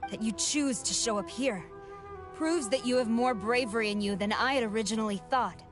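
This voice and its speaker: A haughty military commander from a video game, acted by a woman. Her voice sits fairly high, and she declaims formally with absolute authority and loyalty. Music plays clearly behind her. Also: normal speed, natural voice. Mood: cool, challenging. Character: proud commanding female army general, fanatically loyal.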